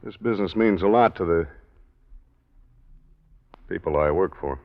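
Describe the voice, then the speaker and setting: Casting: courteous, quietly probing heavy-set American, deep register, old-time radio acting, dull vintage recording. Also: male voice, measured pace. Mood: probing, measured. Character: tall, heavy-set, polite but probing American stranger. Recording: muffled 1940s radio recording.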